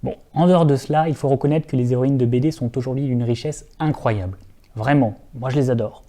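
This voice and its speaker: A man's voice: medium pitch, a little fast, dark tone. A young man hosting a scripted video essay, earnest and direct, with occasional wry asides to his viewers.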